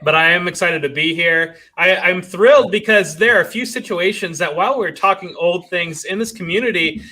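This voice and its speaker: A man's voice: sits high, fairly quick, bright, forceful tone. A man in his late thirties, chatting modestly on a podcast. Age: 30s. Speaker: podcast guest. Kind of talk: casual podcast chat.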